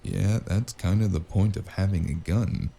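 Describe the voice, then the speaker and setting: A male actor playing a cynical, wisecracking investigator in a noir audio drama, with a gravelly voice and a dry, menacing delivery. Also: sits low, normal speed, dark tone, slight background noise. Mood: sardonic. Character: cynical, wisecracking hardboiled spacer investigator with magic. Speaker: voice actor playing the lead and narrator.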